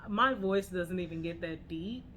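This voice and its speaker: A woman's voice: on the low side, unhurried, a crowd in the background. Playful laughing woman podcaster. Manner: playful, laughs often, slangy. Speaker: podcast co-host. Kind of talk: casual chat.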